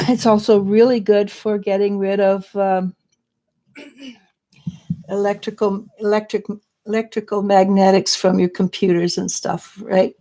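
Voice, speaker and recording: Middle-aged woman psychic host, gravelly, mellow, hoarse from losing her voice, rambles and restarts. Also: medium pitch, fairly even intonation, measured pace. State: losing her voice, clearing throat. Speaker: psychic reader and show host. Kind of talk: live-stream spiritual advice chat.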